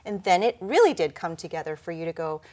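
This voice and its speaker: A woman with a fairly low voice leads an interview. She speaks in a measured way, affirms what she hears, and repeats her guest's words back. Her questions come at a steady, considered pace.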